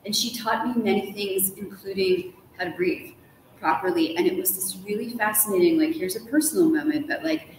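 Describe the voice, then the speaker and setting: A woman's voice: mid-range voice, a little fast. A middle-aged woman chatting casually as an interview guest, gushing and talkative, often saying "like". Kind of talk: animated casual conversation. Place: large hotel room, indoors. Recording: roomy echo with background noise.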